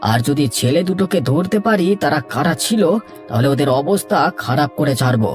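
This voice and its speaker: A man's voice: on the high side, lively intonation, a little fast, soft background music, close to an everyday voice. A man voicing a concerned, defiant younger villager in a cartoon, earnest and resolute. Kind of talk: Bengali moral-story cartoon dubbing.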